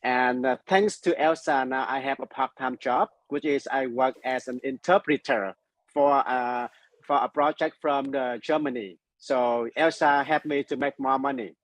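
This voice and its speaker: A man's voice: medium pitch, moderate pace, no room sound. Earnest male learner, dry sound. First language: not English. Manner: earnest, simple phrasing. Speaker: learning group member.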